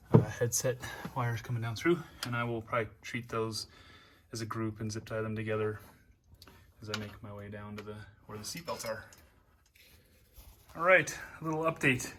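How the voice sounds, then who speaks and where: Guy doing a casual diy how-to, kinda halting as he works, bit of noise behind him. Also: lowish voice, steady tone, fairly bright tone. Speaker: amateur DIY how-to video maker. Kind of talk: casual hands-on install walkthrough.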